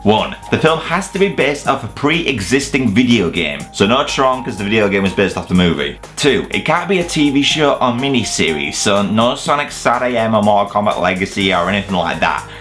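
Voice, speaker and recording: British male host, lively swinging pitch, sarcastic and sweary, exaggerated scripted comedy delivery, music underneath. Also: fairly quick, few pauses. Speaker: host of a video review channel. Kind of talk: scripted comedic countdown narration.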